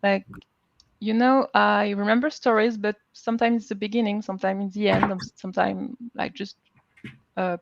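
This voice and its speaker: A woman with a French accent talking informally as a panel guest, haltingly searching for words. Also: mid-range voice, fairly even intonation, vocal fry, highs cut off. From France. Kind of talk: informal live panel talk.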